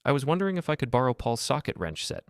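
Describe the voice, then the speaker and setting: A man narrating a story and speaking as a calm betrayed husband, a mechanic who hides what he knows. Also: mid-range voice, fast, clear, resonant tone, no room sound, clean studio sound, pitched above the performer's own voice. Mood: feigned casual calm.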